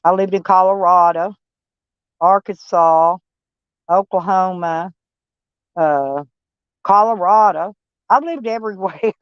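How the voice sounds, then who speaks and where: Live-stream host: a blunt, folksy Texas-accented woman, smooth voice, dull lo-fi audio. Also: fairly low pitch, slow pace, long pauses, no echo. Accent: Texan. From Kentucky, USA. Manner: rambling, folksy, blunt and swearing. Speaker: livestream host. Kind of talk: casual live-stream chat with viewers.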